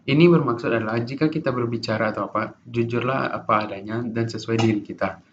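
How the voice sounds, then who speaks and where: A young man giving an informal class presentation as a student, halting often with restarts and fillers. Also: mid-range voice, steady tone. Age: young adult. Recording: dull lo-fi recording with faint noise.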